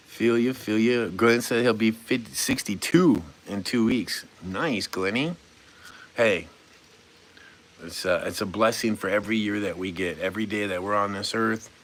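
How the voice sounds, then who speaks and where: A man in his late forties with a somewhat low voice, talking easygoing and unscripted on a live stream. He reads viewers' comments aloud, then rambles through his answers in a goofy, relaxed way, chuckling now and then. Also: a little hiss or hum. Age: 40s. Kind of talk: casual live-stream chat.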